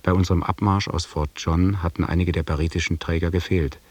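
Reserved expedition officer, very deep, husky, formal, restrained, measured radio drama delivery. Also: male voice, steady tone, a little mellow, dry recording. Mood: reserved, sober. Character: correct, reserved lieutenant narrating an expedition. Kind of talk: German radio drama acting and narration.

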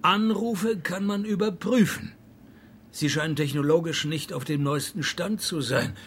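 An actor playing a dry, sardonic male private detective in a radio play, narrating and talking in a deadpan, needling way. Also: on the high side, unhurried, a little hiss or hum.

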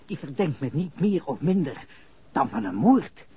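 A man's voice: on the high side, big pitch swings, moderate pace, slight background noise. An actor playing a nervous, shifty young man under police suspicion, stammering slangy lines in a 1960s radio drama. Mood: anxious, pleading. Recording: muffled vintage radio recording.

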